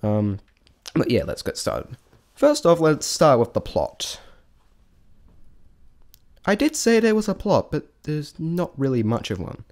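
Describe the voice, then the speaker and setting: A man giving a casual, unscripted review in a halting, rambling way, often correcting himself mid-thought. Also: a little high, wildly swooping pitch, a little mellow. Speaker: vlogger reviewing a stage show.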